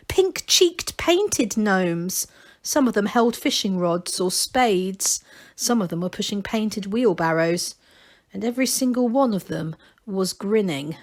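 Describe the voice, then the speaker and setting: Woman reading a children's storybook aloud. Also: medium pitch. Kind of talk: expressive storybook narration.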